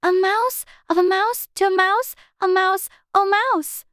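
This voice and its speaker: A woman's voice: very high voice, steady tone, measured pace, a bit bright, no echo, clean studio sound, voice pushed up. A woman voicing a polite, eager little girl with an earnest, childlike manner in a dramatized audiobook. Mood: tentative, polite. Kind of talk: dramatized audiobook character dialogue.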